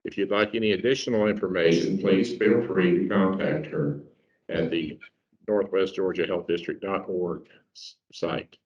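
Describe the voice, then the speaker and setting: A man with a very deep voice introduces a speaker in a plain, matter-of-fact way. The sound is dull and lo-fi, and he is heard from far off in an echoey room. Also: fairly even intonation, moderate pace, a little mellow. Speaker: club member introducing speakers. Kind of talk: reading an introduction, then fixing the mic.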